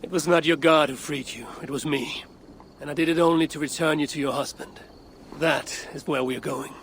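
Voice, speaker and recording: A man's voice: fairly high pitch, steady tone, fairly quick, fairly bright tone, slight background noise, lightly acted. A man playing a stoic, skeptical Viking warrior in a video game cutscene, speaking tersely and matter-of-factly. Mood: firm, skeptical. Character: blunt, skeptical Viking warrior of a raven clan.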